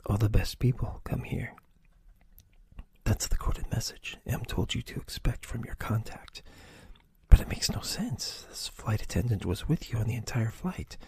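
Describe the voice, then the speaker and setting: A man reading an adventure gamebook aloud in a soft, breathy ASMR whisper, stopping to choose pages. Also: on the low side. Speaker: reader of a choose-your-own-adventure book.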